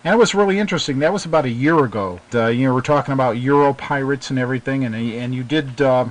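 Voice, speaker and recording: A man hosting a casual hobby radio chat, talking in a halting, hesitant way as he interviews. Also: mid-range voice, slight background noise, low-quality recording. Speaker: host, interviewer.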